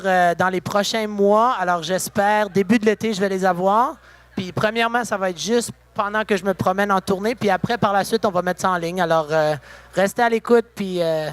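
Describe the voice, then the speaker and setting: Young male musician from Saskatchewan, high voice, easygoing and chatty. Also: clear, resonant tone, no echo. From Saskatchewan, Canada. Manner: relaxed, chatty, full of fillers. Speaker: musician guest. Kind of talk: casual interview. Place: backstage at a concert venue.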